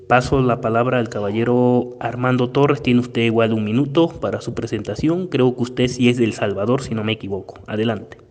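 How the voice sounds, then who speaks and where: A man's voice: on the low side, darkish tone, in a large hall. A man moderating a religious debate in a formal, courteous manner, addressing the participants and setting out the rules. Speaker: debate moderator and channel host.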